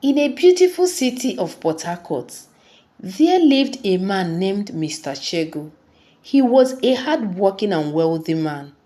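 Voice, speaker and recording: Slow, expressive woman storyteller. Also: medium pitch. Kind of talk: scripted folktale narration.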